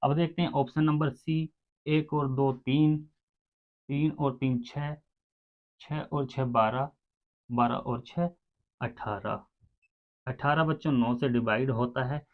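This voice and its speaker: A man's voice: medium pitch, steady tone, slow pace, long pauses. A man teaching maths step by step, addressing his students directly and counting sums out aloud. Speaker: maths teacher for exam aspirants. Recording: muffled, phone-like narrow sound.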